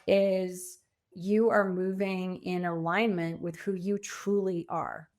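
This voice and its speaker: A woman's voice: lowish voice, flat delivery, slow, darkish tone. A middle-aged woman answering interview questions conversationally, in a reflective, earnest way with emphasis on key words. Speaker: podcast guest, coach and author.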